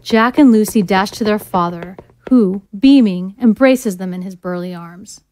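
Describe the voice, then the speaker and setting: A woman's voice: medium pitch, a little slow, a little mellow, no echo. Female narrator for scene directions, mid-range voice, scripted delivery, dry studio sound.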